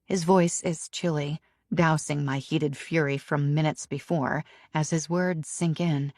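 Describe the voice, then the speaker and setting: A woman narrating a romance audiobook in first person with an expressive, emotionally charged reading. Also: low voice, a little slow, no room sound, studio quality.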